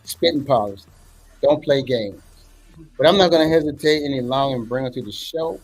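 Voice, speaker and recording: A man hosting a casual interview show. He is emphatic and energetic, repeats phrases and jumps in with lively interjections. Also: medium pitch, brisk, soft background music. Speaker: show host.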